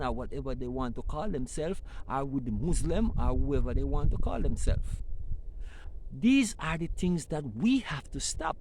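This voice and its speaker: Male host, mellow low-bright voice, impassioned preachy delivery, slight background hiss. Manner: preaching, repetitive, building emphasis. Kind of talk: impassioned community talk.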